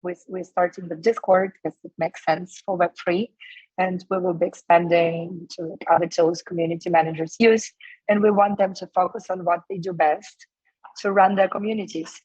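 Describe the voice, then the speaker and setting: A woman in her thirties from Ukraine, hoarse and losing her voice, talking casually as a guest with many fillers and restarts. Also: fairly low pitch, a little mellow, no room sound, muffled recording. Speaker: guest, startup co-founder. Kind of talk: casual interview answers.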